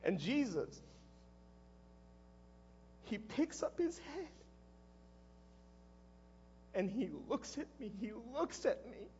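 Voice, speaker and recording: An actor playing a guilt-ridden, impulsive fisherman disciple in a halting, conversational dramatic monologue, with a husky male voice. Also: very high voice, big pitch swings, lo-fi sound, slight background noise, full character voice. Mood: haunted, self-loathing. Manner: halting, conversational, erupting into shouts. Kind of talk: dramatic first-person monologue.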